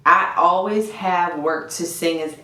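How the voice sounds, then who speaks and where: A woman's voice: on the low side, measured pace, sharp tone, reverberant, far from the mic, slight background noise. A woman guest talking casually about singing, chatty and full of "like". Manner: chatty, laughs easily, lots of "like". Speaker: guest vocalist.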